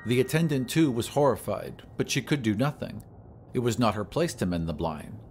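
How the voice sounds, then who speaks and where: A man's voice: mid-range voice, lively intonation, moderate pace, background noise. A man reading scripted narration for an audio drama. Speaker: podcast narrator.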